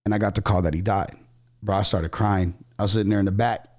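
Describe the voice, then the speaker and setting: American male podcast host, lower-pitched voice, loose slangy storyteller in relaxed conversation. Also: fast talker. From Southern California, USA. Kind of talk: casual storytelling chat.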